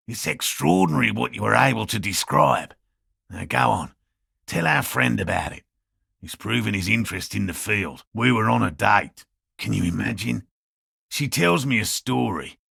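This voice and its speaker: Game role: proud old male cryptozoologist. Raspy, throaty and sharp, curt pedantic delivery, close dry sound. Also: mid-range voice, no echo, exaggerated voice. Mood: earnest wonder. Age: older. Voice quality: gravelly, throaty. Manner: pedantic, curt, precise academic diction. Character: proud, prickly old cryptozoologist, devoted field researcher. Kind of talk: video game character dialogue.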